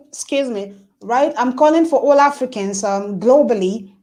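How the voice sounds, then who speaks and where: A woman's voice: fairly high pitch, a little slow, warm tone. A woman giving impassioned political commentary on a remote call, rallying listeners with emphatic repeated phrases. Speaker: guest commentator.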